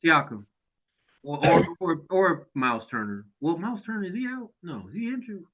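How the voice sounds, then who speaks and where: Lively man hosting, slightly dull audio. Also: moderate pace. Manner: lively, laughs often, drops to a low mutter. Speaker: podcast host. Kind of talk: casual sports chat. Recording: dull lo-fi sound.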